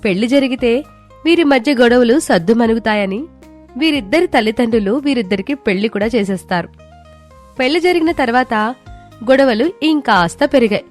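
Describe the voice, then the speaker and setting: A woman storyteller delivering scripted narration of a village tale. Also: a little high.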